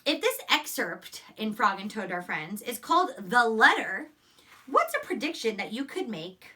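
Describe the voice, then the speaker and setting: A woman teacher giving a phonics lesson and read-aloud to young children, encouraging, enunciating deliberately and repeating words, picked up by a distant microphone. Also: a little high, sing-song, sharp tone. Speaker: elementary school teacher.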